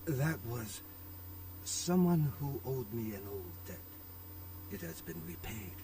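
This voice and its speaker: A man's voice: medium pitch, sing-song, takes it slowly, mellow. An actor playing a middle-aged Chinese restaurant owner in a video game, a worried father who speaks formally and courteously. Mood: resolute. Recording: distant game audio with background noise.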